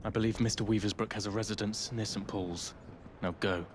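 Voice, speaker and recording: Man acting a deep, stern police inspector. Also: steady tone, a little fast, background noise, close to an everyday voice. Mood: stern concern. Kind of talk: dramatic video game acting.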